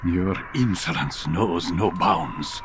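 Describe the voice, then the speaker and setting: An actor playing a stern older master of assassins in a video game, commanding and scolding in a measured way. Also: male voice, low-pitched, a little hiss or hum. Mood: stern, lecturing. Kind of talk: dramatic video game acting.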